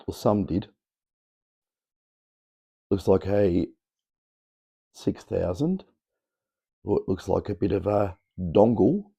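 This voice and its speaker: Middle-aged Australian bloke, gravelly and husky, offhand workshop chatter, camera mic in a cluttered room. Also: male voice, low-pitched, slow pace, long pauses, mellow. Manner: rambling, offhand, chatty explaining. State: croaky from talking all day. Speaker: electronics hobbyist host. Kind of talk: casual workshop demo. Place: cluttered home workshop, indoors. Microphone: camera mic on tripod.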